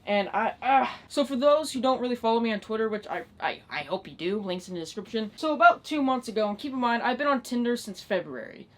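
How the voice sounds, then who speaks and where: A woman's voice: on the high side, lively intonation, fast, hardly any pauses, slight background noise. A nineteen-year-old woman ranting in a casual, unscripted vlog style, exasperated and emphatic, with plenty of swearing. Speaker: online video creator.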